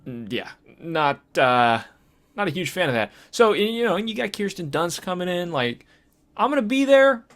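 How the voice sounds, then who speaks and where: A man's voice: sits high, very animated, moderate pace, a bit bright. A man co-hosting a casual film discussion podcast, talking in a hesitant, meandering way. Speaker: podcast co-host.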